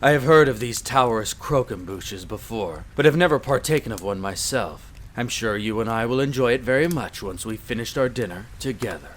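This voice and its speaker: A man performs a gracious gentleman of a vampire castle in a mid-range voice. His phrasing is formal, courteous and old-fashioned. It is a roleplay audio drama, with some noise behind him. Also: medium pitch, lively intonation, normal speed, hardly any pauses, lightly acted. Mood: pleased, gracious. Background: ambience, crackling fire.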